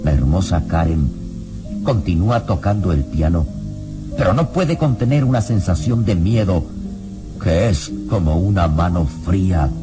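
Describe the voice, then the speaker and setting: Very deep solemn male narrator over music. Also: expressive, measured pace. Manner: solemn, suspenseful storytelling. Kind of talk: dramatic scripted radio-drama narration.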